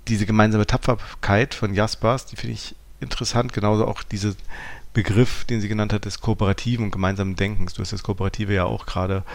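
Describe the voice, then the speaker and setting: A man's voice: fairly low pitch, little pitch movement, fast talker, gravelly, no room sound. A man co-hosting a conversational discussion, analytical and searching for words as he builds his argument. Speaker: podcast co-host.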